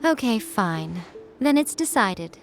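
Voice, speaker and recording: An actress playing a sly, teasing, aloof shrine priestess in a video game, with a coy and nonchalant manner. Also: female voice, fairly high pitch, sing-song, slow, exaggerated voice. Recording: background music under the voice.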